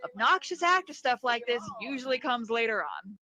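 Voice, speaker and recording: Bright, flustered theatre director, played by a woman. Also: a little high, animated, moderate pace, no room sound, rough recording. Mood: strained patience. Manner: hesitant, placating. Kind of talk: naturalistic comic trailer acting.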